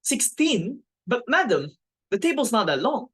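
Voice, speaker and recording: A dismayed household servant, acted by a woman in a stage comedy reading. The voice is husky and swings widely in pitch, protesting with hesitant deference. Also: big pitch swings, unhurried, a bit bright, full character voice.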